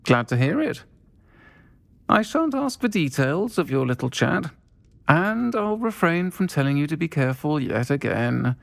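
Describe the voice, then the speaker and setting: Woman innkeeper, husky-low pitch, creaky, measured, protective, video game dialogue. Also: sing-song, heavily acted. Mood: caring. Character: kindly, composed woman pub landlady, protective friend.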